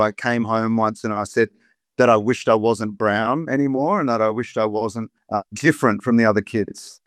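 Australian male guest, 30s, relaxed anecdotal talker, mid pitch, dry studio sound. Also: no room sound. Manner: warm storyteller, chatty with many "you know" asides. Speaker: guest, poet and rapper. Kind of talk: relaxed reflective interview talk.

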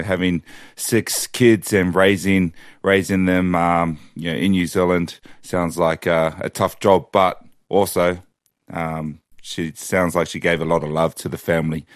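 A man's voice: low voice, dry recording, studio quality. A man hosting a casual interview, speaking informally and supportively. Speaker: interview host.

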